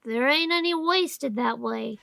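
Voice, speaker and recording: A woman's voice: high-pitched, a little slow, vocal fry, a bit bright, exaggerated voice. A woman playing a cheeky, argumentative seven-year-old boy in an audio drama, with folksy childish grammar and an insistent manner. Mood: sheepish defiance.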